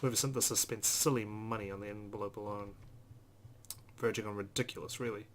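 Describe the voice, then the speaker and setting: A man's voice: fairly low pitch, fairly even intonation, a little fast. A man hosting a let's play, reading visual novel narration aloud expressively with casual asides. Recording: faint background noise.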